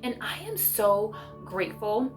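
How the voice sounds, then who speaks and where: A woman's voice: mid-range voice, very animated, unhurried, over music. A woman chatting casually to her viewers in an effusive, encouraging way, as the host of a homeschooling vlog.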